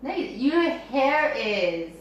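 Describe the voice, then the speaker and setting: A woman's voice: high-pitched, big pitch swings, slow, muffled recording. Chatty woman in an echoey room. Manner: playful, chatty, bantering. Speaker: co-host of book club video. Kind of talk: casual sibling banter. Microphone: camera mic at a distance. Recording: echoey room, distant mic, some noise.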